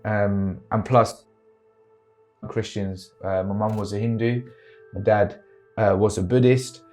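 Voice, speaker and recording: A man in his thirties from Sri Lanka, earnestly telling a personal story about fatherhood with frequent pauses and fillers. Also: fairly low pitch, little pitch movement, a little mellow, soft background music. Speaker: father in a discussion.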